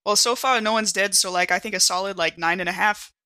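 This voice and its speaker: A woman puts on a slangy, excitable sci-fi crewmate for a tabletop game. The voice is bright and very dry, rambling along in loose, casual bursts. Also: medium pitch, quick pace, dry recording, close to an everyday voice. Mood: teasing. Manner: slangy, rambling, heavy use of "like".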